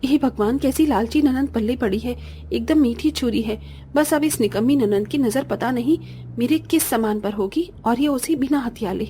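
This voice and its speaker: A woman's voice: on the high side, moderate pace, mellow, a little hiss or hum, close to an everyday voice. A woman playing a young bride in a cartoon family drama who is polite on the surface and resentful underneath. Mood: sarcastic, seething.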